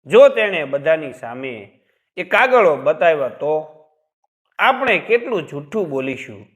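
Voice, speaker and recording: Guy doing a worried tearful young wife in a story, pleading, upset, pitch moves around. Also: sing-song, measured pace. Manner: pleading, distressed. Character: anxious, tearful young married woman in a story.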